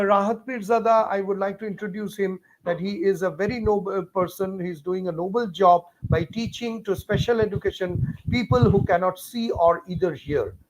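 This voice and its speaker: A man's voice: high voice, very noisy. A man with an Indian English accent teaching a live online class, stressing points over and over and talking straight to viewers by name. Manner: emphatic, repetitive, talks straight to viewers by name. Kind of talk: live-streamed lecture.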